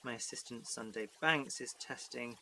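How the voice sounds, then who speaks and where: A man's voice: medium pitch, normal speed, creaky, very noisy, close to an everyday voice. A man playing a stern, perfectionist science professor in an audio drama. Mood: businesslike. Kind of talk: fan audio drama acting.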